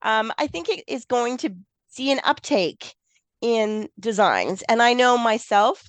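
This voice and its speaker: A woman with a froggy, hoarse voice from a cold, hosting a casual gardening podcast and thinking aloud as she talks. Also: fairly high pitch, expressive, a little slow, no echo. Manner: chatty, thinks aloud with restarts. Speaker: podcast host, garden designer.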